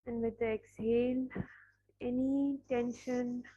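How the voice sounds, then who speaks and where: A woman leading a guided meditation, speaking softly with long pauses between phrases. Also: fairly high pitch, level tone, muffled recording. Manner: slow, soft, pausing between phrases.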